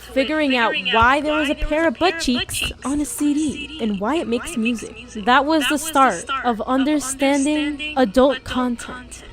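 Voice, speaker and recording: Brief: young woman, raunchy jokey storytime narrator, a touch high, music playing under. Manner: crude, joking, animated storytelling. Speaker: storytime animator. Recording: background music.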